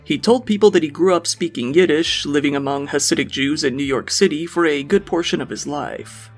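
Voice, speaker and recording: A man narrates a true crime case in an even, measured way. His voice sits a touch high and has a gravelly edge. Quiet music plays beneath him.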